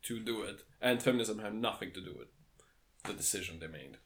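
A man's voice: on the low side, animated, a little fast. A man co-hosting a casual podcast chat, speaking in a dry, matter-of-fact way and laughing along. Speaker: podcast co-host.

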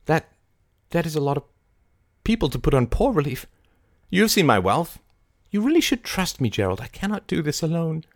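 Male narrator as intense volatile woman, commanding, fragile, dramatic phrasing, very varied pitch, dry close sound. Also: sing-song, dry recording. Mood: volatile, pleading. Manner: dramatic, deliberate, mercurial.

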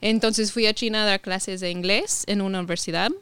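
Guest, woman from Ohio, 30s, non-native speaker, halting and casual, very clear and dry. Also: medium pitch, fairly even intonation, fairly bright tone, no echo. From United States (Ohio). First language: English. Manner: hesitant, many fillers and restarts. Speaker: podcast guest. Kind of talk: casual interview conversation.

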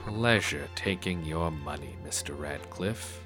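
A man's voice: extremely low pitch, measured pace. A man playing a cynical, wisecracking private detective in a 1930s noir audio drama, dry and laconic. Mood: dry, businesslike. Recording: music under the voice.

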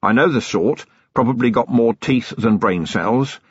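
A tough middle-aged police commander, as a male narrator puts him on speaks in a husky, stern voice with plenty of lift and swing. He is menacing and dryly sarcastic. The sound is dry and slightly dull. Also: medium pitch, big pitch swings, moderate pace, dry recording.

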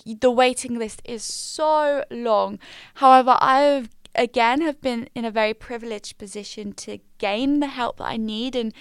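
A woman of twenty, hosting a casual solo podcast in a small quiet room, candid and upbeat with slangy asides. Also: a little high, clear, resonant tone, no echo, studio quality. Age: 20s. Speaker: podcast host. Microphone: studio mic.

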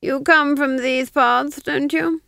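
A woman's voice: high voice, fairly even intonation, takes it slowly, creaky, bright, forceful tone, dry recording, clean studio sound, pitched above the performer's own voice, exaggerated voice. A woman voicing a scheming middle-aged male duke who commands with falsely friendly, silky menace. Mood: silkily coaxing.

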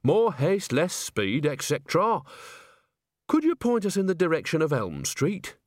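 A man's voice: fairly high pitch, very animated, dry recording, clean studio sound, voice pushed up. A man voicing a chattering old witch. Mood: breezy. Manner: rapid gossipy chatter. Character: old chatty witch woman, cheerfully brazen.

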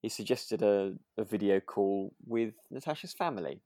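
A woman's voice: extremely low pitch, moderate pace, mellow, dry recording. A woman speaks in a dry recording with very little room sound. She chats informally as a podcast co-host, agreeable and a little hesitant, feeling out her thoughts as she goes. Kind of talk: relaxed casual chat about a radio drama.

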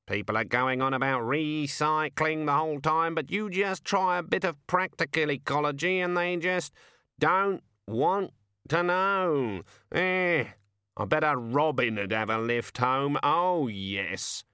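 Complaining male raven, higher voice, drawn-out whinge, read by a man. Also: pushed and bright, smooth, no room sound, clean studio sound. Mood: self-pitying. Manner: whining, gloomy complaining. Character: grumbling talkative male raven, self-pitying.

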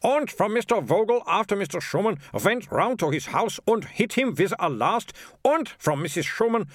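A man's voice: high-pitched, big pitch swings, moderate pace, no room sound, studio quality, pitched above the performer's own voice. A man voicing a pompous, flustered small-town mayor who blusters in a fussy, long-winded way in a storybook reading. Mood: indignant.

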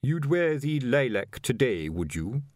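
A man voicing a stout middle-aged male sergeant who speaks slowly with quiet menace. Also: very animated, no echo, clean studio sound, lightly acted. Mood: stern. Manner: slow, low, pointed.

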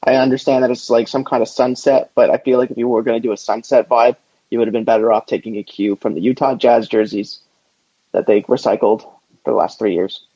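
Dude on a sports podcast, rambly slang, mid pitch, kinda dull lofi mic. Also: male voice, fairly even intonation, a little fast, warm tone, husky, dry recording. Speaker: podcast co-host. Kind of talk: casual sports podcast banter. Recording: dull lo-fi close podcast audio.

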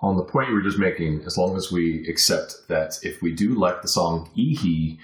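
A man's voice: sits low, moderate pace. A man as a podcast guest, chatting casually and reflectively, rambling as he thinks aloud. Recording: roomy, echoey sound.

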